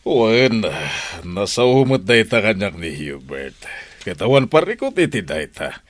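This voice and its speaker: A man's voice: fairly low pitch, big pitch swings, a little slow. A man playing a practical older confidant in a radio drama, reasoning with emphasis and reassurance.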